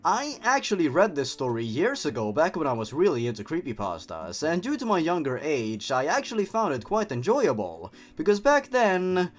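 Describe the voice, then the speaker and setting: A man who is not a native English speaker, hosting scripted commentary and horror story readings in an expressive way, with sardonic asides. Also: a little high, normal speed, few pauses. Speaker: host reading and reviewing horror stories. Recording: music behind the voice. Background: background music.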